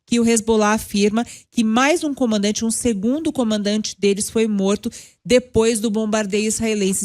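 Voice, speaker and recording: A woman's voice: medium pitch, darkish tone. A woman with a Brazilian accent, asking questions as a news interviewer.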